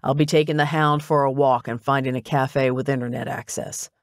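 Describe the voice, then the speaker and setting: A woman's voice: rumbling low, fairly even intonation, a little fast, no room sound, studio quality, voice pushed down. A woman voicing a brusque, self-assured older male detective with a clipped, commanding manner in an audiobook. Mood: bossy, matter-of-fact.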